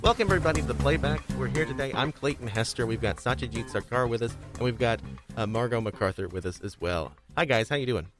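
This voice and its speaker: A man hosting a casual panel discussion, speaking haltingly and often repeating himself as he restarts phrases. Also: medium pitch, over music. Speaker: podcast host.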